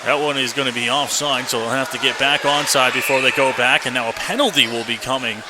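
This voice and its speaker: A man's voice: medium pitch, brisk. A man doing live radio play-by-play commentary of a hockey game in clipped running phrases. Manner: clipped running phrases, excited shouts on big plays. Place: indoor ice hockey arena. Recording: noisy live arena broadcast.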